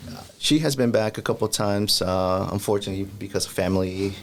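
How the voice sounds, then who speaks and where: A young man from El Salvador, a guest answering interview questions in a plain, measured, somewhat hesitant way. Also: fairly low pitch, a little hiss or hum.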